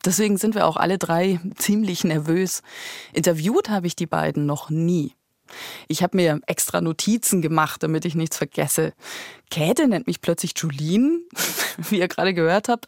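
A woman's voice: fairly low pitch, moderate pace, darkish tone, dry recording, studio quality. A woman in her thirties hosting a podcast with conversational narration. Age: 30s. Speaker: podcast host.

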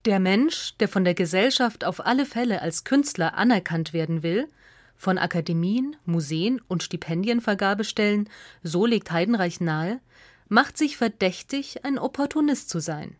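Female essay narrator for radio, medium pitch, close dry sound. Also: lively intonation, normal speed, no echo.

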